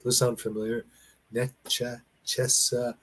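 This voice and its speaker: A man's voice: mid-range voice, a little slow, husky. A man from Canada in a casual online conversation lesson, telling rambling stories with many restarts over a video call. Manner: rambling, restarts and laughs, animated storytelling. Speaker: conversation partner.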